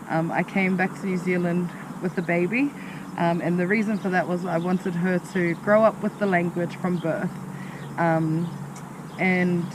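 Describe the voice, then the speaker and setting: A young man, speaking earnestly and hesitantly with many pauses as an interviewee. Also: sits high. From Australia. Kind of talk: casual personal account. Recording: outdoor street noise, passing vehicles.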